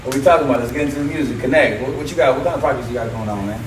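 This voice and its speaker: Man of thirty, podcast host, slangy banter, mid-pitched voice, repeats himself, echoey room. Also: very fast. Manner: animated, swearing, repeats himself. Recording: noisy echoey room, distant mic.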